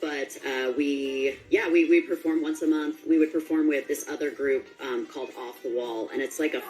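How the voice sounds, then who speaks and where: A woman's voice: sits low, fairly even intonation, moderate pace, a little mellow, soft background music, poor audio. A woman speaking as an interviewed voice actor, chatting in an informal, rambling, enthusiastic way. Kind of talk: casual interview chat.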